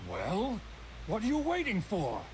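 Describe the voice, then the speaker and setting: A man playing a stern, indignant middle-aged island trader in a video game, gruff and argumentative. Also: high voice, huge pitch swings, a little slow, a little mellow, slight background noise, full character voice, low-quality recording. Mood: commanding. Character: stern, indignant older male island trader, grieving father.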